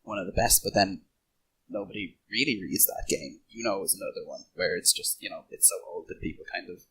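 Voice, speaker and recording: A man's voice: low-pitched, very animated, fairly quick, bright, forceful tone, slight background noise, poor audio. A man in a casual podcast discussion, thinking aloud in a hesitant way as he gives his opinions.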